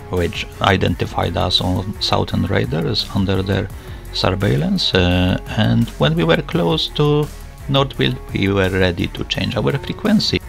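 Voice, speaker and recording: Male student-pilot narrator, explanatory, low and heavily fried voice. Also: gravelly. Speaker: student pilot vlogger narrating own flight.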